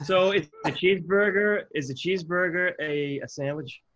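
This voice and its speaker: A man's voice: high-pitched, normal speed, a bit bright, no room sound. A man from New Jersey on a podcast, chatting casually and hesitantly, with many ums. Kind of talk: casual banter. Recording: dull dry lo-fi sound.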